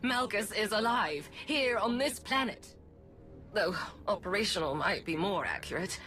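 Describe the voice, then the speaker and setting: Haughty female game villain, acted by a woman, theatrical, bright sharp voice, animated pitch, disdainful, faint music behind. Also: moderate pace, lightly acted. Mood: disdainful lecturing. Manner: pompous, disdainful, elaborate diction. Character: haughty, theatrical female Sith lord villain, scornful scientist. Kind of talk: video game villain acting.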